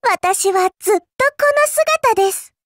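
Small cute spirit girl for an anime game: extremely high pitch, sly and teasing, polite but smug, dry studio sound. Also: animated, measured pace, no room sound. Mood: smug, coy. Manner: polite, coy, teasing, cutesy. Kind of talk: anime game character voice lines.

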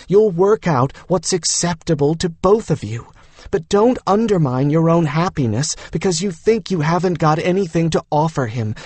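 Guy doing a young woman in an audiobook, pitched up, coaxing and bossy, dry sound. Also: darkish tone, dry recording. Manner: coaxing, insistent, lecturing. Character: frank, coaxing young woman, exasperated older-sister-style adviser. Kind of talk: audiobook character dialogue.

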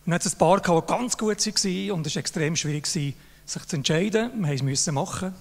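An older man speaks with a Swiss German accent, his voice a touch high. He talks in an informal, praising way, and the handheld mic carries a long hall reverb. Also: quick pace, vocal fry. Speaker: jury member presenting an award. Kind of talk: Swiss German laudatory speech. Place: large hall on a stage.

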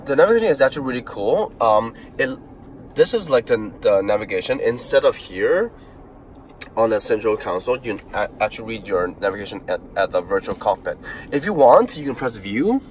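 A young man with a fairly low voice chats through a car's dashboard features in a casual vlog, full of "uh"s and restarts. He sits in a parked car with a phone camera close by, and faint cabin noise hums underneath. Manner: chatty, lots of fillers and restarts. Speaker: car reviewer.

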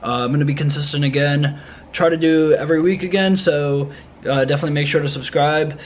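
A man's voice: a little high, a little fast, muffled recording, a little hiss or hum. Young man vlogging casually on a trail. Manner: relaxed, uncertain, many fillers. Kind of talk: casual vlog walkthrough. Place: wooded trail outdoors, then apartment living room.

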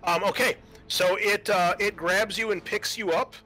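A man's voice: high-pitched, steady tone, moderate pace, a crowd in the background, rough recording. A man acting as game master, explaining rules and narrating action casually and a bit hesitantly. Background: music behind the voice.

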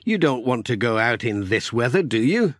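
A man's voice: medium pitch, sing-song, normal speed, no room sound, clean studio sound. A man voicing a kindly, chatty male village postman in a children's storybook. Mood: gently jovial.

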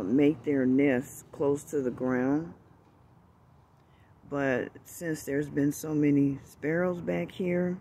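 A man filming in a backyard, talking slowly and gently, often dropping to a hushed voice. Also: fairly high pitch, a little hiss or hum. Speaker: birdwatching vlogger. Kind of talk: casual outdoor narration while filming. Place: outdoors in a backyard among bushes. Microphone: handheld phone camera.

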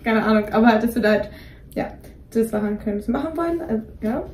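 A woman's voice: fairly high pitch, animated, fast, darkish tone, slight background noise. Young woman rambling in a roomy bedroom. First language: Greek is partly her home language. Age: young adult. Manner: rambling, many fillers and restarts. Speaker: co-host. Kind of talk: casual chat. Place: dim bedroom, indoors. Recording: roomy echo, voice somewhat distant from mic.

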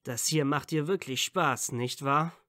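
Shy teenage wizard boy voiced by a woman, low register, hesitant, earnest, dry audio-drama sound. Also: measured pace, fairly bright tone, dry recording. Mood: earnest admiration. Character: shy, awkward dark-haired teenage male wizard student. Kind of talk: fan audio drama acting.

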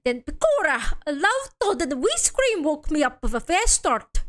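Lady putting on old irish coachman witness, folksy rambly storyteller, high and singsong. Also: big pitch swings, moderate pace. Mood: storytelling. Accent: attempted Irish.

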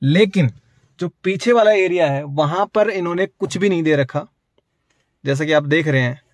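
Man in his thirties, from India, car vlogger, slightly high voice, dry close sound, phone at arm's length. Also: moderate pace, no room sound. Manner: chatty, repetitive, explains while showing. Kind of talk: casual car review vlog. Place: inside a parked car at night. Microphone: phone held at arm's length.

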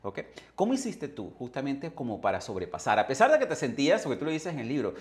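A man in his forties hosts from a small studio with a microphone on a boom arm. He speaks at an ordinary middle pitch, affirming his guest and asking long, searching questions. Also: huge pitch swings, fast. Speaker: host. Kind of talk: conversational interview. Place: studio with branded backdrop.

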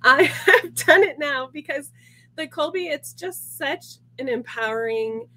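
A woman, stuffy and gravelly from a sinus infection, chatting upbeat through a sewing tutorial in her home sewing room. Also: high voice, animated, unhurried, bright, forceful tone, poor audio. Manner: chatty, upbeat, many ums and little laughs. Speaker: sewing instructor hosting a sew-along. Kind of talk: casual tutorial to camera.

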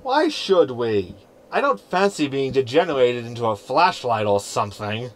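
An actor playing a sardonic, unimpressed holographic crewmate in a science fiction audio sitcom. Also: male voice, mid-range voice, big pitch swings, moderate pace, close to an everyday voice. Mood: snide. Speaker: actor in sci-fi comedy audio drama.

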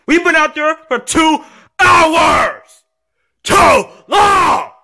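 A man voicing a rude, whiny young boy in a homemade puppet-style story, yelling in high bursts. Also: huge pitch swings, normal speed, exaggerated voice. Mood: whiny, upset. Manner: whiny, defiant, yelling outbursts.

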